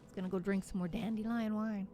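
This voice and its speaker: A woman's voice: medium pitch, steady tone, quick pace, warm tone. A woman streamer giving casual commentary as she plays, chatty and muttering to herself while she works through puzzles. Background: game music.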